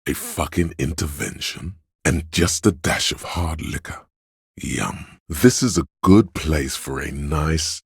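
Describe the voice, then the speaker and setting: An extremely deep, gravel-rough tempter for a video game, recorded close and dry. This is addiction itself as an inner voice, gleeful and seductive. The delivery is sly and theatrical, insinuating and goading toward every indulgence. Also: male voice, dry recording, heavily acted. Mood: seductive, mocking. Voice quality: very deep, husky. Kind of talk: video game character voice lines.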